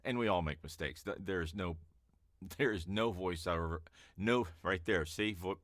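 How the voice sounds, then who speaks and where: A man coaching voice-over hopefuls in a relaxed, chatty teaching talk with a calm, easy-to-listen-to voice. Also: sits low, a little slow, dry recording, studio quality. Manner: relaxed and chatty, with fillers and restarts. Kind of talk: casual teaching vlog.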